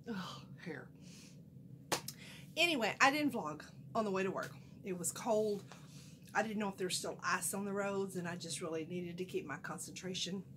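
A middle-aged woman with a twang, slightly nasal from a cold, rambles happily about her bargains and loses her train of thought. She sounds like she is talking to a camera in a small room at home. A steady background hiss runs under her voice. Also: fairly low pitch. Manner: folksy, animated, chatty, wanders off mid-sentence. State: has a cold, a little nasal. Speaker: haul vlogger. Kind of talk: casual chatty haul vlog.

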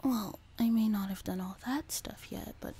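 A woman voicing a sweet, teasing, doting young demon girl in a soft, breathy whispered ASMR roleplay, close to a binaural mic. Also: medium pitch. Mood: playful, smug. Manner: gentle, slow, teasing, intimate. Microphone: close binaural microphone.

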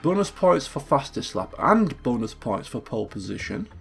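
Male let's play host, mid-pitched and gravelly, croaky and chatty, thinking aloud. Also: sing-song, normal speed, soft background music. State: croaky from just waking up. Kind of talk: casual gameplay commentary.

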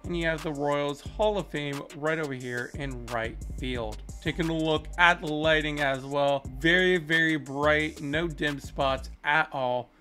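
A man's voice: fairly high pitch, measured pace, hardly any pauses, sharp tone, faint music behind. A young man in his twenties giving casual, upbeat commentary as he tours custom stadiums in a video game, recorded at a desk. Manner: upbeat, enthusiastic, praising. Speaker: gaming video creator. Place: small indoor room, webcam corner.

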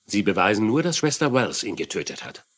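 Ein Schauspieler spielt einen skeptischen, sachlich argumentierenden Polizei-Lieutenant in einem synchronisierten Fernsehkrimi. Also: male voice, lowish voice, big pitch swings, fairly quick, a bit bright. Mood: sceptical. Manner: matter-of-fact, argumentative. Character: sceptical, matter-of-fact male police lieutenant. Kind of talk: German-dubbed TV crime dialogue.

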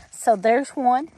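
A woman's voice: high voice, dark tone, slight background noise. A middle-aged woman, chatting folksily while walking the woods of her farm, filming as she goes. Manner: chatty, folksy, laughs easily, many fillers. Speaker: homestead vlogger. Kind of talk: casual walk-and-talk narration. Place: outdoors in the woods behind a farmhouse. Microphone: handheld camera while walking.

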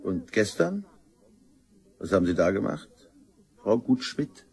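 An actor playing a calm, courteous police detective in a German crime radio play, measured and reassuring. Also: male voice, on the low side, gravelly, husky, heavily acted. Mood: calm, inquiring.

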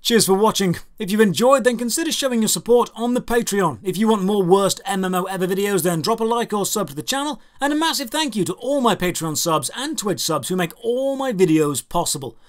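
A man's voice: high voice, lively intonation, fairly quick, hardly any pauses. A man in his thirties narrating a scripted game review as host, with an emphatic, exasperated, rhetorical delivery. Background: background music.

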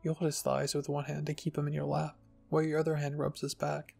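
A soft-spoken man reading fan fiction aloud as an ASMR narrator. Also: on the high side, completely flat delivery, fast, creaky, breathy edge, faint music behind. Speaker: narrator and channel host.

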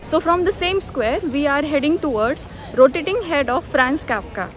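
A woman's voice: high-pitched, animated, normal speed. A woman, narrating a casual travel vlog. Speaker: travel vlogger. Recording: music bed under the voice.

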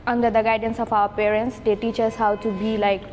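A woman's voice: on the high side, flat delivery, brisk, darkish tone, long reverb tail, faint music behind. A young woman from Nepal, a student guest, chatting casually on a podcast in a café with many filler words. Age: young adult.

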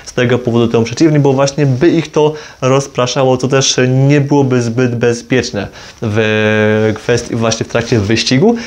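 A man's voice: mid-range voice, darkish tone. A man giving an informal explainer to camera, rephrasing and correcting himself as he goes. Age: 20s. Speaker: motorsport video creator. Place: small plain room indoors. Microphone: lavalier clipped on jacket.

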